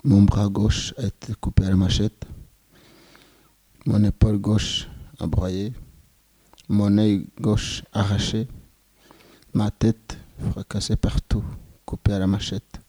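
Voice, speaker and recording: A Rwandan man in his twenties giving solemn, measured testimony as a genocide survivor. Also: lowish voice, flat delivery, warm tone, no room sound. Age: 20s. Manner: slow, grave, measured phrasing.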